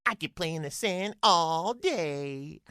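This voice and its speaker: A woman's voice: low voice, lively intonation, takes it slowly, fairly bright tone, no echo, clean studio sound, full character voice. A woman voicing an adventurous, enthusiastic young kid with eager exclamations in a children's cartoon. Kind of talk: children's cartoon and game voice lines.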